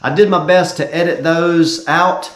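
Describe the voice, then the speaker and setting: A man's voice: a little high, fairly even intonation, a little slow, a bit bright, echoey room, a little hiss or hum. A man in his thirties hosting a garage build channel, talking casually to viewers in a voiceover while sounding stuffy and congested from a cold. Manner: easygoing, talks straight to "you guys". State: stuffy, congested from being sick.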